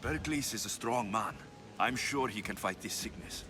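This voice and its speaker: An actor playing an earnest, determined young warrior in a dramatic video game cutscene. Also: male voice, lowish voice, expressive, moderate pace, noisy background, lightly acted. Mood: concerned, steady. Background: prominent music.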